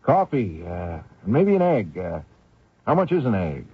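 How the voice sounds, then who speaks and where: Hesitant low-voiced young fugitive, swooping pitch. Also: male voice, sing-song, a little slow, slightly rough, full character voice. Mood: guarded. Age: young adult. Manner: hesitant, evasive, stammering, polite. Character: anxious young male fugitive posing as a penniless laborer. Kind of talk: old-time radio drama acting. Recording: noisy lo-fi old radio recording.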